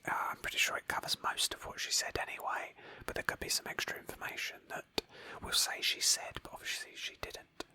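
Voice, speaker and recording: A woman whispering gently as she narrates an ASMR roleplay of a video game in a storytelling manner. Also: a little fast, few pauses. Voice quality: breathy whisper. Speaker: host of a roleplay game playthrough.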